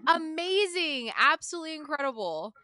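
A woman speaks as a podcast host, introducing and interviewing. Her voice sits fairly high. The sound is dry, with almost no room around it. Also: sing-song, normal speed, pushed and bright, no room sound.

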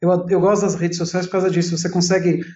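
A man's voice: sits high, level tone, fast, darkish tone. A man in his forties with a São Paulo Brazilian accent, telling his own story casually and talkatively, often repeating and rephrasing himself. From Italy and São Paulo, Brazil. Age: 40s. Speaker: interview guest, entrepreneur. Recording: roomy, slightly dull sound.

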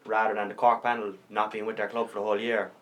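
A man's voice: lowish voice, level tone, quick pace. A man co-hosting a casual podcast, thinking aloud in long explaining turns. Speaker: podcast co-host. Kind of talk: casual chat.

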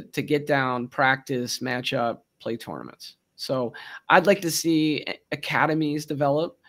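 A man's voice: fairly high pitch, vocal fry, a bit bright. A man in his forties with a Baltimore accent, co-hosting a casual livestream and telling stories with frequent laughs. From Baltimore, Maryland. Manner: chatty storyteller, laughs often. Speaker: co-host.

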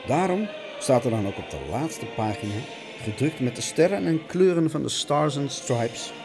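A man's voice: mid-range voice, animated, moderate pace, vocal fry, music in the background. A man narrating a scripted radio essay in a melodramatic, mock-dramatic, declamatory manner.

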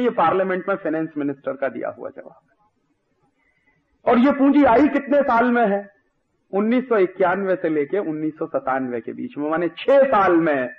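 A man from India giving an impassioned lecture on public affairs, speaking emphatically with repetition and rhetorical questions, on a lo-fi recording. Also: sits high. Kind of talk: impassioned political-economic lecture. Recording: dull lo-fi radio-like audio.

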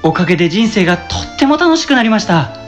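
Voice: medium pitch, big pitch swings, quick pace, faint music behind, close to an everyday voice. A performer playing a timid, earnest young male customer in a radio drama, polite, hesitant and grateful. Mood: shy, eager. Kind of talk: amateur radio drama acting.

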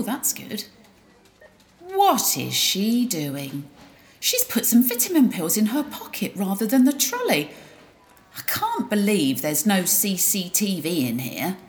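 A woman's voice: mid-range voice, huge pitch swings, unhurried. A woman narrating a drama in a wry, gossipy first-person voice.

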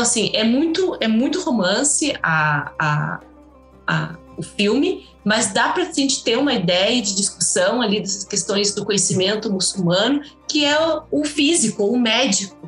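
A woman's voice: fairly high pitch, fairly bright tone. Woman rambling in an echoey room. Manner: rambling with many restarts and fillers. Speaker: guest historian. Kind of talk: casual interview talk.

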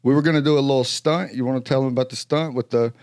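A man's voice: medium pitch, steady tone, fast, a little mellow, clear, resonant tone, no echo, studio quality. A man co-hosting a casual podcast, joking and rambling with lots of fillers. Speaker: podcast co-host. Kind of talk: casual chat.

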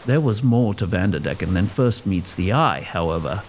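Male narrator for gothic audio drama, lively swings in pitch, dramatic storytelling, faint noise behind. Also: moderate pace.

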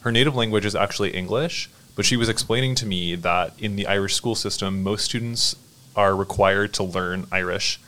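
A man hosting a podcast conversation, speaking in a measured, thoughtful way, asking reflective questions and introducing segments. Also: on the low side, bright, forceful tone. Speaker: podcast host.

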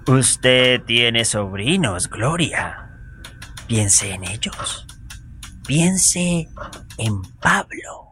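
A man playing a solemn judge in a radio drama, speaking in a grave, deliberate, admonishing manner. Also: mid-range voice, sing-song, bright, forceful tone, slight background noise, exaggerated voice. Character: solemn male judge pressing a woman with painful truths.

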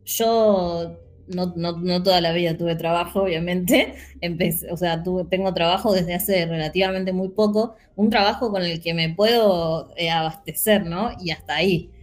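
A woman's voice: on the low side, normal speed. An Argentine woman with a Rioplatense accent, speaking earnestly in a casual panel discussion with frequent fillers. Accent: Rioplatense Spanish. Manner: earnest, animated, many fillers.

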